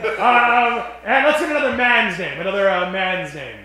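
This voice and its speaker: Guy with a really high voice hosting a stage comedy show, old 1940s radio emcee style, big showman, echoey. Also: moderate pace, pushed and bright. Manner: showman-like, projecting to an audience. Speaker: host of a live improvised radio comedy show. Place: stage in front of a live audience. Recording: echoey live stage sound.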